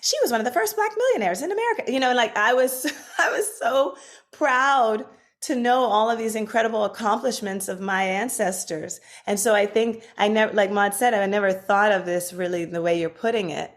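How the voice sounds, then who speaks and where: A woman guest on a podcast from Washington, D.C., telling childhood stories in a relaxed, animated way with lots of fillers. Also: medium pitch, a little fast. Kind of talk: casual conversation.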